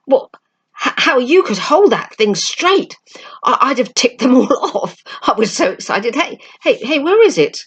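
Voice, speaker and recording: An excitable storybook schoolboy, acted out by a woman, talks eagerly in a raised pitch. The words tumble and stumble out of the child in stammered bursts. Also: unhurried, husky, dull audio, exaggerated voice. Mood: thrilled, excited.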